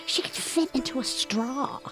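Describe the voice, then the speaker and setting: A woman's voice: high-pitched, animated, measured pace, fairly bright tone, faint music behind. A woman playing a sarcastic, grumbling middle-aged wife with a dry manner in a comedic audio play. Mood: sarcastic, exasperated.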